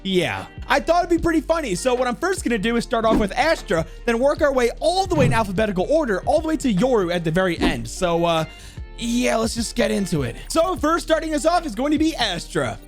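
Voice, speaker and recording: A man's voice: very high-pitched, sing-song, brisk, faint music behind. Chatty self-mocking gaming YouTuber, man. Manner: self-deprecating, chatty, nervous laughs. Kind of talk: casual chat to camera.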